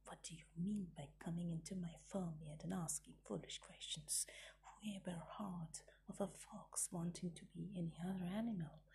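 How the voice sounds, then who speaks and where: A woman whispering as a gruff old sheepdog. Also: fairly low pitch, steady tone, normal speed, warm tone, voice pushed down, exaggerated voice. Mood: snapping. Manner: whispered, stern. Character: gruff watchful male sheepdog.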